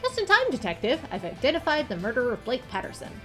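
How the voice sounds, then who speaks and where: A woman's voice: high voice, wildly swooping pitch, quick pace, bright, forceful tone, soft background music, pitched above the performer's own voice, exaggerated voice. A woman voicing an earnest amateur sleuth in a visual novel, probing and persistent with questions. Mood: determined.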